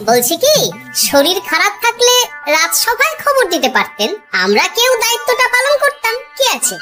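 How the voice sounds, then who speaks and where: A performer voicing a witty, outspoken male court jester with a persuasive manner in an animated cartoon. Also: very high voice, normal speed, loud music behind the voice, full character voice. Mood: reproachful.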